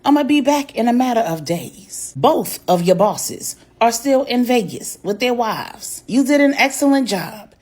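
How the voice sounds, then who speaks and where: A woman's voice: fairly high pitch, very animated, a little slow, hardly any pauses, a little mellow. A woman voicing a confident, bossy gangster woman in a story, slangy and persuasive. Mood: coaxing.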